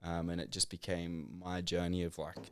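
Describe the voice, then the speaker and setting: Deep-voiced man telling a story. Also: flat delivery, moderate pace, a bit bright, smooth, no echo, clean studio sound. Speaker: podcast guest. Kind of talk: casual chat.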